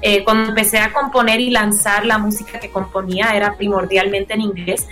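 A woman's voice: mid-range voice, flat delivery, fairly quick, sharp tone, faint music behind. A young woman with a Puerto Rican accent and English as her first language, speaking earnestly and expressively as a singer-songwriter guest in a casual radio interview. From Puerto Rico.

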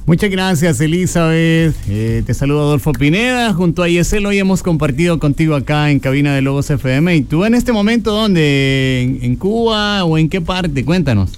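Smooth-voiced Honduran radio host. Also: male voice, fairly high pitch, animated, normal speed, few pauses, faint music behind. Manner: warm, encouraging, blessing the guest. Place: radio studio.

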